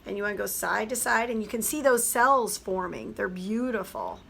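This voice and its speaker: A hoarse woman, a teacher, chattily explaining a craft project step by step. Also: medium pitch, animated, normal speed, a bit bright, a little hiss or hum. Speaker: craft tutorial host. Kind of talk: craft tutorial voice-over.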